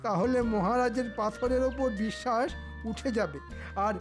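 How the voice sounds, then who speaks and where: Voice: mid-range voice, moderate pace, lightly acted. A voice actor playing a scheming, jealous male royal minister in a comedy cartoon, conniving and theatrical. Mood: anxious, determined. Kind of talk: Bengali comedy cartoon dubbing. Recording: music behind the voice.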